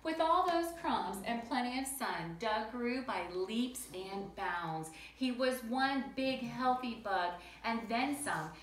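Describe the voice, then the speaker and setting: A woman teacher reading to children and explaining simply, chatty and full of questions for her young listeners. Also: mid-range voice. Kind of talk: picture-book read-aloud and simple lesson for children. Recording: roomy echo with faint background noise.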